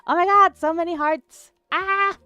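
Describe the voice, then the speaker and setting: A woman's voice: very high-pitched, fairly even intonation, measured pace, pushed and bright, dry recording, highs cut off, faint music behind. A woman with an Italian accent, chatting casually on a live art stream, reading chat aloud and trailing off with fillers. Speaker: artist streamer.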